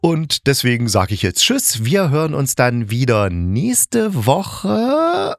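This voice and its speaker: A man's voice: medium pitch, wildly swooping pitch, slow pace, vocal fry, dry recording, clean studio sound. A man hosting a solo podcast, reviewing in a chatty, colloquial way as if thinking aloud. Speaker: podcast host and radio drama maker.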